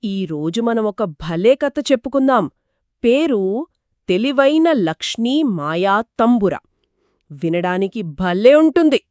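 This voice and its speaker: A woman narrates a children's folktale in a conversational style. Her pitch sits in the middle and she addresses the young listeners directly and expressively. The sound is very dry, with no room on it. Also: big pitch swings, slow pace, darkish tone, dry recording. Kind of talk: conversational two-person storytelling for children.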